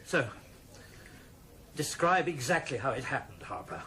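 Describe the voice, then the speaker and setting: An actor playing a sceptical, incredulous police chief in a radio drama, questioning with authority. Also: male voice, mid-range voice, big pitch swings, unhurried, crowd noise. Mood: incredulous, probing.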